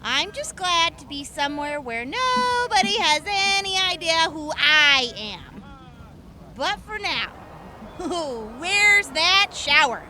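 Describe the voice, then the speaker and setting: A woman's voice: very high voice, very animated, takes it slowly, noisy background, exaggerated voice. A woman playing a tough, wry and evasive wasteland survivor in an audio drama. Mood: relieved.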